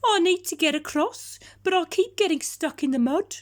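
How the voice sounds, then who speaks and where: A woman voicing a plaintive, howling dog in a children's storybook, calling out loudly. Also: extremely high pitch, lively intonation, moderate pace, voice pushed up. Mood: distressed, plaintive. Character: stuck, pleading dog beside a bog.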